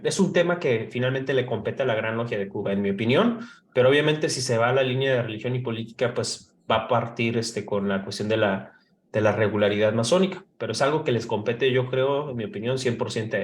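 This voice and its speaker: A man's voice: mid-range voice, fairly quick. A Mexican man with a slight northern accent, co-hosting a casual panel podcast and explaining history with many fillers and restarts. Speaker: podcast co-host. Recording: roomy echo.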